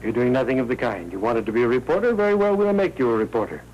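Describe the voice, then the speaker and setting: An actor playing a middle-aged American newspaper boss, blustering and easily indignant, in a 1940s Hollywood film. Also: male voice, mid-range voice, expressive, quick pace, highs cut off, lightly acted. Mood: gruffly kind. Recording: noisy old 1940s film soundtrack.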